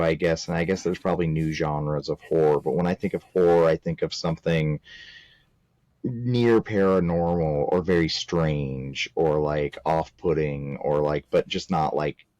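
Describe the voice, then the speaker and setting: A man's voice: deep voice, no echo, highs cut off. A man co-hosting a casual film review podcast, blunt and joking darkly. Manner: blunt, swears, dark jokes. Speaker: podcast co-host.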